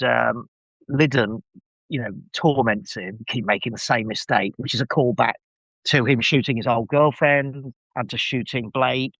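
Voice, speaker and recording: A man with a medium-pitched voice chats as a guest on a podcast. He speaks with emphatic enthusiasm and a wry edge, leaning on the words he relishes. The recording is dry and free of room echo. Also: fairly bright tone. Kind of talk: casual podcast discussion.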